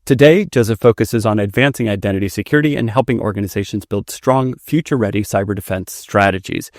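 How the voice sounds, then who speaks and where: A man's voice: fairly low pitch, fast, darkish tone, dry recording, studio quality. A man who is a native Finnish speaker, hosting a conversational podcast interview. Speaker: podcast host interviewing a guest.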